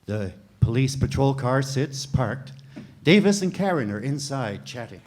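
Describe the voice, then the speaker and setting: A man reading script stage directions aloud in a plain, measured way. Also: medium pitch, sing-song, darkish tone.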